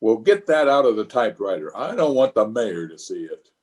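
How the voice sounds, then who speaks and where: A man's voice: on the low side, very animated, brisk, a little mellow, slight background noise. A man playing a blustering, self-important middle-aged uncle and small-town official in an old-time radio comedy, with a pompous manner. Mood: bossy.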